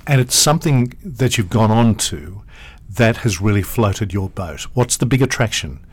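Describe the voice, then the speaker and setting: A man's voice: lowish voice, expressive, moderate pace, slightly rough. A man hosting a podcast interview, asking questions with playful teasing. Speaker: podcast host.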